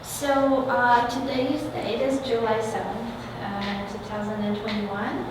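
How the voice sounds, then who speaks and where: A woman's voice: on the high side, flat delivery, slow. Hesitant woman interviewer, very echoey and distant. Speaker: oral history archivist, interviewer. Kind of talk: informal recorded interview. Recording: very echoey room, distant mic, noisy.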